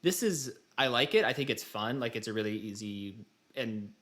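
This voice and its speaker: Conversational man with a mid-pitched voice. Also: expressive, normal speed, a bit bright. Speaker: podcast co-host. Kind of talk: casual chat about video games.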